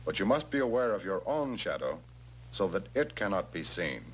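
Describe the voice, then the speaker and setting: An American man giving measured, instructional narration in the scripted style of a 1960s military training film, stressing key words. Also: sits low, sing-song. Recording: noisy 1960s film soundtrack with hum.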